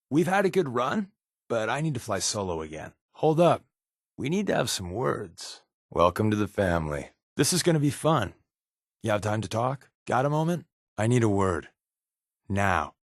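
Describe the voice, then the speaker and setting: An actor with an American accent playing a wry, easygoing undercover resistance spy in a video game, talking in a conversational, sardonic way. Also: male voice, fairly low pitch, no room sound, studio quality. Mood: serious, direct. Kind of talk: video game character voice lines.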